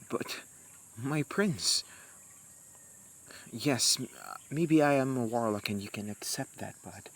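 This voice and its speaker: Very slow, halting young warlock played by a man. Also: mid-range voice. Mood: flustered, disbelieving. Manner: halting, soft-spoken, addressing the listener intimately. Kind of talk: amateur romantic roleplay audio drama. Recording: noisy, with insect-like outdoor ambience. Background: crickets and night forest ambience.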